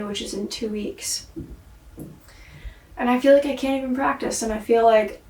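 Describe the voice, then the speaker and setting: A woman's voice: on the high side, a little mellow. A woman recording a rambling, introspective video diary, swearing and sighing often, with a voice she says is tired and her throat sore. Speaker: vlogger and singer. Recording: echoey room, far from mic.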